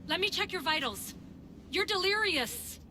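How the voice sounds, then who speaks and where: An actress playing a sceptical, practical, caring medic in a video game, speaking matter-of-factly. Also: female voice, extremely high pitch, lively intonation, unhurried. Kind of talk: video game cutscene acting. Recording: long reverb with faint noise.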